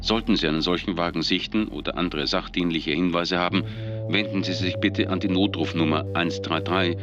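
A man's voice: sits low, fairly even intonation, brisk, lo-fi sound, music in the background, close to an everyday voice. A man playing a radio newsreader who reads a police appeal in a formal announcing style. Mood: formal, neutral.

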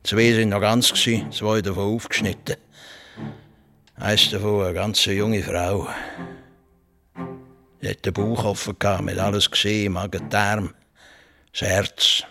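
A Swiss farmer in a radio play, speaking in a lowish voice. He is terse, plain and unemotional, with a Swiss German accent and music behind him. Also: flat delivery, unhurried, long pauses, bright, forceful tone. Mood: matter-of-fact recollection. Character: blunt rural Swiss farmer applying as executioner. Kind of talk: naturalistic radio drama acting.

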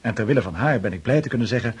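An actor playing a pompous, boastful young officer and suitor in a radio play, formal and over-polite. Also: male voice, medium pitch, expressive, very fast, breathy edge. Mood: ingratiating. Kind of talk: radio drama acting.

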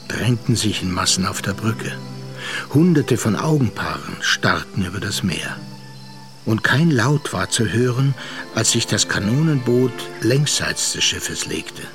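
An actor playing a reflective sea captain, narrating a literary radio drama in a measured way. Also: male voice, medium pitch, close to an everyday voice. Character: reflective seafaring captain recounting a past affair.

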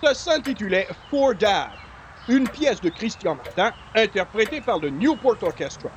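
A man as a high-pitched, formal radio announcer. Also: big pitch swings, normal speed, slight background noise. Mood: formal, presentational. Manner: formal, carefully worded. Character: formal old-time radio comedy announcer.

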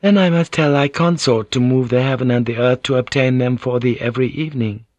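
A man voicing a gentle, composed Japanese noblewoman in an audiobook, speaking in courteous, archaic phrasing. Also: mid-range voice, a little fast, dry recording, pitched above the performer's own voice, lightly acted. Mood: coy, courteous.

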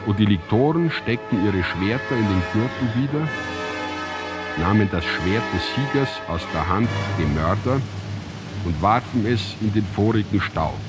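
An actor as the grave narrator of an ancient tragedy in a radio drama, reciting in a measured, solemn, declamatory way. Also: male voice, fairly low pitch, animated. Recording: music under the voice. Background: music.

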